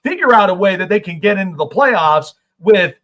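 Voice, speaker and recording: A man's voice: sits high, animated, normal speed, fairly bright tone. A man hosting a sports talk show, asking long rambling questions in a casual chat. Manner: rambling questions with many fillers.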